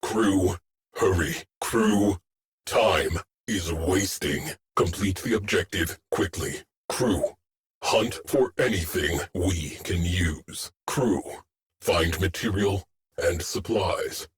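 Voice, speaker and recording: A stern male starship AI from a sci-fi video game. The voice is on the low side and very slow, with short, clipped, deadpan military callouts. It stays stoic and terse, like an officer on the bridge. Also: slight background noise. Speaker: ship's tactical AI officer.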